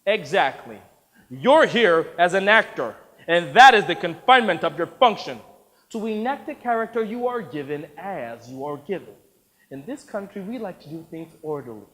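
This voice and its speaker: A man's voice: sits high, lively intonation, normal speed, a bit bright. A man playing a bossy, money-minded film boss in a story, emphatic and commanding, in a reverberant hall. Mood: stern, scolding.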